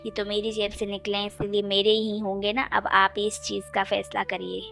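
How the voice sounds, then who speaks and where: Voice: mid-range voice, completely flat delivery, normal speed, gravelly, fairly bright tone, faint music behind. A performer voicing a self-serving village man staking a claim in a cartoon. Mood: insistent.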